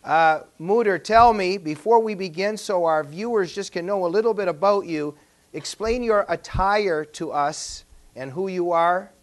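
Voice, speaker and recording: A man's voice: sits high, measured pace. A man speaking as a talk show host, introducing and questioning a guest in an interview.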